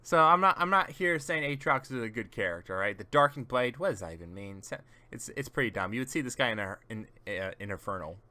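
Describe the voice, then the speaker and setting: A man's voice: mid-range voice, expressive, a little fast, few pauses, pushed and bright. A man casually chatting on a gaming stream, giving rambling, teasing explanations to a friend as he shows off game characters.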